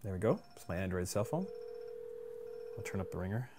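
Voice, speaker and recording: A man presenting a casual screencast software tutorial in an informal, plain-spoken and somewhat hesitant way. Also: low voice, big pitch swings, fairly quick. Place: indoors at a computer. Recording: clear background room noise.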